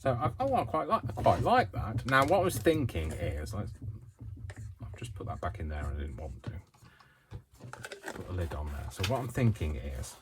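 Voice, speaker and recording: A British man from the UK chatting through a crafting tutorial at home, rambling, self-deprecating and quick to giggle. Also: sits low, sing-song, noisy background. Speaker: craft vlogger.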